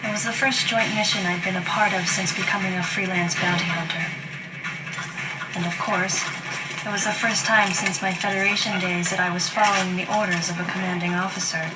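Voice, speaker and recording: An actress playing a stoic, introspective female bounty hunter, narrating her mission in a restrained, deliberate video game monologue. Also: fairly low pitch, fairly even intonation, natural voice. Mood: reflective, restrained. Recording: distant game audio under loud music.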